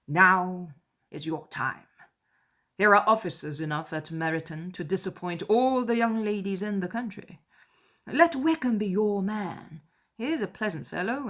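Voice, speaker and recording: Woman playing a wry, teasing older gentleman. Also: lowish voice, heavily acted. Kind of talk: dramatized audiobook character.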